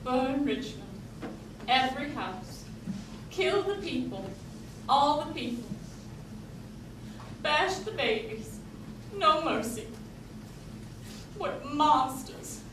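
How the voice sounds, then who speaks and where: A haughty, volatile Confederate First Lady on a theatre stage. Her high voice swings dramatically and imperiously, in a very echoey, distant recording. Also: animated, slow, long pauses. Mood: horrified, outraged. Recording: echoey stage from far off, noisy.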